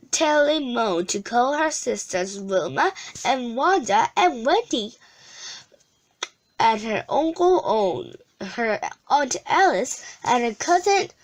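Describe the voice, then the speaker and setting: A woman reads a children's story aloud in a high voice with lively intonation. She goes slowly and expressively, halting here and there over words. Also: poor audio. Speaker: storybook reader.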